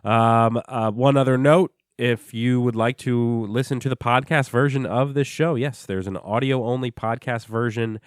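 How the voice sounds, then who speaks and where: A man hosting a music podcast in a relaxed, chatty way, with a stuffed-up voice from a cold and plenty of filler words. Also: mid-range voice, measured pace, hardly any pauses, clear, resonant tone, no room sound, studio quality. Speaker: podcast host. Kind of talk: casual music show chat.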